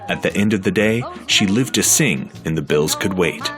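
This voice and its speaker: A man giving measured, scripted documentary narration. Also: sits low, lively intonation. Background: music underneath.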